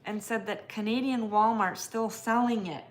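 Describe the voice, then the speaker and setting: A woman's voice: fairly high pitch, steady tone, normal speed, low-quality recording. American woman chatting on a live stream. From United States. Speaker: co-host. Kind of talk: casual live chat, reading comments aloud.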